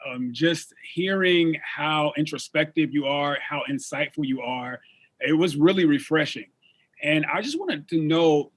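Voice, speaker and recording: A man hosts a talk show in a conversational way. His voice sits a little high and has a noticeably husky, rough edge. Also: low-quality recording. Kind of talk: conversational interviewing.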